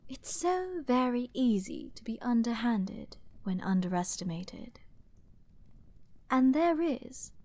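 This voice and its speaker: Woman doing a snobby flirty princess, kinda threatening, slow n dramatic w breathy bits, asmr roleplay, super dry close mic. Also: fairly high pitch, no room sound, heavily acted. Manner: haughty, theatrical, drawn-out phrasing with hushed breathy asides.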